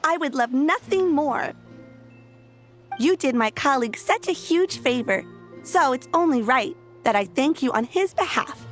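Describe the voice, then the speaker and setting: Effusive young staff lady, game cutscene, high lively voice, earnest gushing delivery, music underneath. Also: unhurried. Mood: gushing, grateful. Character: eager, effusive young female event staffer. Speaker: event staff member. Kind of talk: video game voice acting. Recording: music behind the voice.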